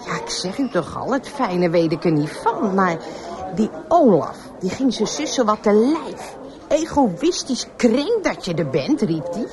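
An actress playing a gossipy café landlady in a radio drama, telling things in colloquial, excitable style amid background hubbub. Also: medium pitch, a little slow, crowd noise. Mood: gossipy, animated. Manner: colloquial, excitable storytelling, mimicking others.

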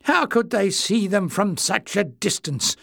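A man's voice: sits high, expressive, unhurried, gravelly, a bit bright, no echo, clean studio sound, voice pushed up, exaggerated voice. A man voicing a sullen young male goblin who sneers and retorts furiously.

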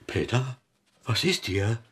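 A man's voice: medium pitch, lively intonation, slow, a bit bright, breathy edge, heavily acted. An actor in a radio play playing a melancholy, self-pitying old literary man who declaims, rambles and corrects himself. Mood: stricken, wistful. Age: older. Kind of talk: German radio play acting.

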